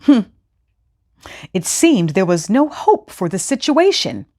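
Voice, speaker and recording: A woman hosting a children's storytime, speaking in an expressive, teacherly way as she reads and explains. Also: medium pitch, takes it slowly. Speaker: host of a children's read-aloud storytime show. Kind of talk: expressive storybook reading with scripted instructions.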